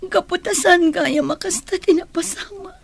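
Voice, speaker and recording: Radio drama: guilty, self-sacrificing young woman, Filipino accent, high pitch. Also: normal speed, vocal fry. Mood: remorseful, tearful.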